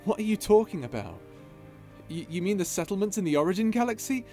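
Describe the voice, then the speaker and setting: A man's voice: high-pitched, big pitch swings, quick pace, a little mellow, soft background music. A man playing a hot-headed, hurt young son who confronts his father in an audio drama, indignant and stammering. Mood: uneasy, defensive. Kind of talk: scripted audio roleplay drama.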